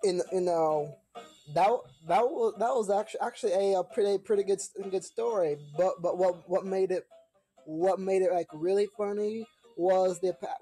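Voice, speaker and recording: A man hosting a reaction video with casual, halting commentary, often repeating words and phrases. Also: high voice, fairly even intonation, warm tone, breathy edge, soft background music, low-quality recording.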